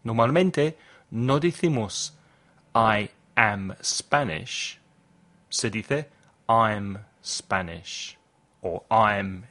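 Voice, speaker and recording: A man hosting a language podcast, reading a scripted lesson and saying model phrases slowly and clearly for listeners to repeat. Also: on the low side, fairly bright tone. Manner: slow, carefully articulated model phrases for repetition. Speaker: English teacher, podcast host.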